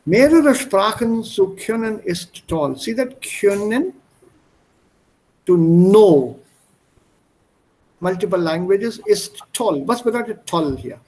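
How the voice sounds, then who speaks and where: A man's voice: sits high, animated, slow pace, pauses often, a little mellow, low-quality recording. A man teaching German in an online class, explaining words and switching between German and English. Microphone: video call.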